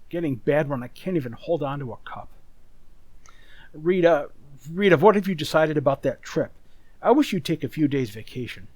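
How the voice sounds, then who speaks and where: A man playing a haggard, anxious husband in an amateur radio play, speaking in a halting, troubled way. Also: fairly high pitch, animated, darkish tone, dry recording. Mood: weary, halting.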